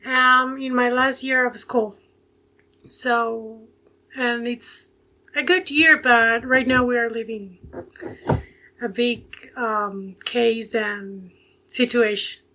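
A young woman from Ecuador in her late teens talks English with a Spanish accent, pausing and reaching for words. Her voice is bright and a little high, held in a steady, even tone. She is heard through a simple earphone mic on a video call from home. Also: low-quality recording. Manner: hesitant, many fillers, searching for words. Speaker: guest. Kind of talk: casual video call interview. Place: home bedroom with bookshelves.

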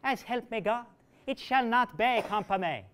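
A man reading aloud, voicing a scornful, teasing young married woman who scolds loudly and mocks. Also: extremely high pitch, lively intonation, normal speed, a crowd in the background, voice pushed up. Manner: loud, mocking scolding.

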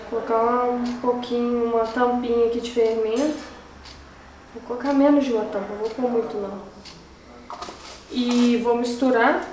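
A young woman talks to her viewers while she cooks, high-pitched and chatty, sounding fed up with the recipe. She is recorded in a home kitchen on the camera's mic, with a noticeable echo and faint clatter from the cooking. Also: darkish tone, a little hiss or hum. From Brazil. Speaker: channel host. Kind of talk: casual cooking vlog chat.

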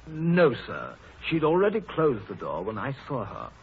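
Flustered, deferential butler. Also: male voice, medium pitch, wildly swooping pitch, normal speed, creaky. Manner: servile, hesitant. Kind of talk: 1930s radio acting. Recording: muffled, noisy 1930s radio broadcast.

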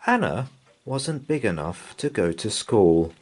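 A man reading a children's picture book aloud in a gentle, lively, sing-song way. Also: lowish voice, takes it slowly, husky. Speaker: storybook reader.

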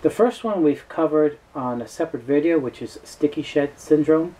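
A man in his fifties giving an informal technical explanation to camera, halting and often repeating words as he restarts. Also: on the high side, rough recording. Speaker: host, tape dealer and restorer. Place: small cluttered indoor office or workshop. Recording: steady room noise.

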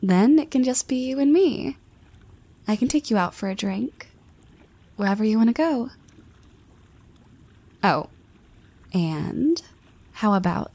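A woman's voice: mid-range voice, mellow. A woman voicing a confident young woman who teases her friend, with playful half-whispered asides. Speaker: voice actress. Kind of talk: scripted audio roleplay.

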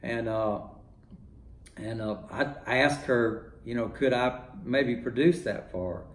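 An older man from Texas, a music producer talking in a relaxed, rambling way full of fillers, in his home studio. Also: medium pitch, unhurried, roomy sound. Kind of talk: casual interview talk.

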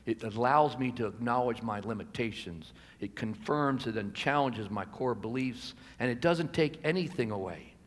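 An American man in his sixties gives a sermon as a pastor, measured and deliberate, pausing for emphasis and letting phrases fall softer. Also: mid-range voice, animated. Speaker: pastor preaching. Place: church sanctuary at a pulpit. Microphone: gooseneck pulpit microphone. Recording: reverberant hall, amplified pulpit sound.

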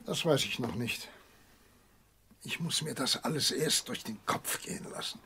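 A man's voice: mid-range voice, moderate pace, pushed and bright, slight background noise. An actor playing a suspicious, fatherly country doctor in a radio drama, grave and probing. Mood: grave, disappointed.

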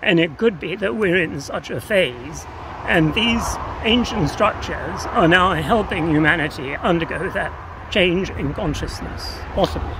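A man's voice: high-pitched, measured pace. An older man being interviewed outdoors, explaining ideas in a reflective, tentative, philosophical way. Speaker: interview guest. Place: outdoors on open grassland by a path. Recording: outdoor recording with clear ambient noise.

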